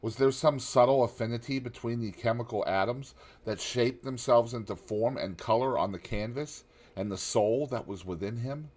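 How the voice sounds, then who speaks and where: A man reading a classic novel aloud in measured narration, dropping low and soft on grave lines. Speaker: audiobook narrator.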